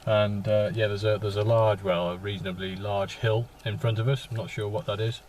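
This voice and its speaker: A man chatting as an amateur radio operator in a halting way, repeating words as he goes and using call signs. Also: lowish voice, level tone, darkish tone, a little hiss or hum, rough recording. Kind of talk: casual radio contact chat with call signs. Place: inside a parked vehicle.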